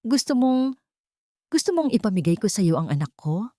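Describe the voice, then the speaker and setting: Woman voicing an anguished, halting poor mother. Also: mid-range voice, big pitch swings, dark tone, no room sound, studio quality, pitched above the performer's own voice, close to an everyday voice. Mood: hurt disbelief. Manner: halting, pleading. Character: poor, anguished young mother, stammering in disbelief.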